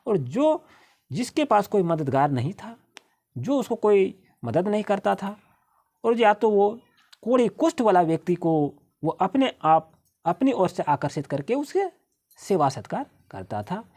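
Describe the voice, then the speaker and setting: A woman with an Indian accent teaching a school lesson to children, reading English sentences slowly word by word and then explaining them. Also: on the low side, a little mellow, breathy edge.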